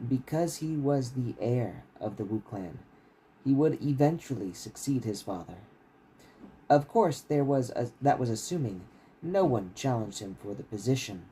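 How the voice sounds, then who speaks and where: A man's voice: medium pitch, slight background noise, low-quality recording. A man who reads his own fantasy writing aloud like a bedtime story on a casual livestream. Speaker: author reading own novel aloud.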